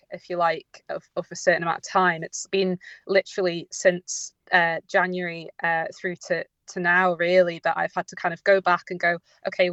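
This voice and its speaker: Woman chatting in a radio interview, lowish voice, kinda halting and informal, slightly muffled sound. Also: a bit bright, dry recording. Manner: hesitant, informal, self-correcting. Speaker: audio drama writer and producer, interview guest. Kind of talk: unscripted radio interview answers.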